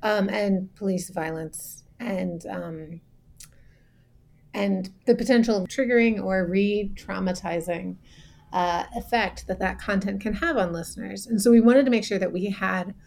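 Gravelly-voiced woman podcast host. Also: medium pitch, unhurried, darkish tone. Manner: engaged, with fillers. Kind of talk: panel discussion.

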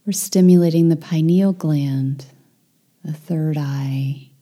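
A woman leading a guided meditation in a soft, breathy, near-whispered voice, gentle and soothing with long pauses. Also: deep voice.

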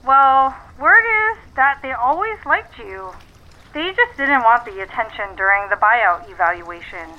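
An actress playing a brisk, persuasive businesswoman on a phone call in a naturalistic audio drama, with a businesslike, coaxing manner. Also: fairly high pitch, lively intonation. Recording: dull, band-limited phone line.

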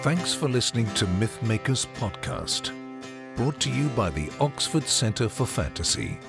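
Lively male announcer over guitar music. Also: medium pitch, a little slow, vocal fry. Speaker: podcast announcer. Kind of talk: scripted promotional outro. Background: plucked guitar music.

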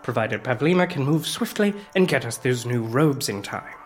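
Low-voiced, dutiful guard captain. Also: female voice, expressive, normal speed, faint music behind. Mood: businesslike. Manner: deferential but insistent, formal. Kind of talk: scripted audio drama acting.

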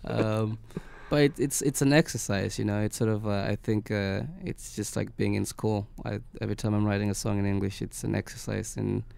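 Gravelly-voiced man, hesitant. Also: fairly low pitch, fairly even intonation, a little fast, hardly any pauses, a little mellow. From Kenya. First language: Swahili. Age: young adult. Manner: hesitant, searching for words. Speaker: singer-songwriter guest. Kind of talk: casual interview answers. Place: radio studio.

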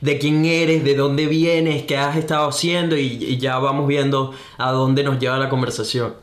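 A young Venezuelan man with a Venezuelan accent, hosting a podcast in an energetic, enthusiastic, slangy way. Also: mid-range voice, normal speed. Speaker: podcast host. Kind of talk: upbeat podcast intro and interview. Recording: roomy echo.

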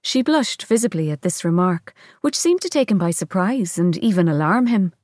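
A woman's voice: lowish voice, animated, moderate pace, darkish tone, no echo, clean studio sound. A woman reading literary fiction aloud as scripted narration.

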